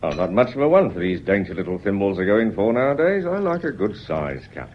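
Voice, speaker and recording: An actor playing a genial, wily police inspector in a radio mystery, chatty, polite and disarming. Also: male voice, fairly low pitch, big pitch swings, brisk, lo-fi sound, a little hiss or hum. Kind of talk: radio mystery drama acting.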